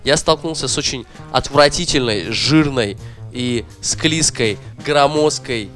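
A man's voice: medium pitch, measured pace, faint music behind. A man on a podcast who tells a story casually and swears often, worked up and ranting. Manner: profane, animated ranting. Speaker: podcast co-host.

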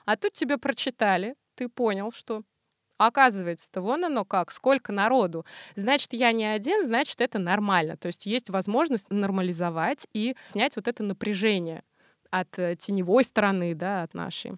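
A woman speaking as an expert guest in a podcast interview, explaining in a colloquial, animated way. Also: medium pitch, moderate pace, dry recording, studio quality.

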